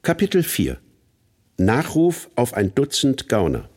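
A man playing a burglar who recounts his criminal career in a radio play, in measured, literary first-person narration. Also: medium pitch, huge pitch swings. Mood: matter-of-fact. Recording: loud guitar music under voice. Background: guitar music.

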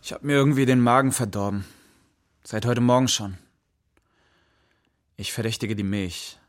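A man's voice: mid-range voice, moderate pace, no room sound, clean studio sound, lightly acted. An actor playing a curious, easygoing young man who chats and narrates about his friend in a radio play. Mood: casual.

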